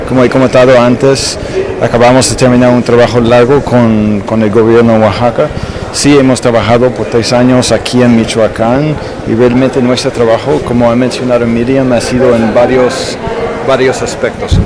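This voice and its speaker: A man's voice: medium pitch, flat delivery, moderate pace, background noise. A man, an agency official, answering questions in a press interview.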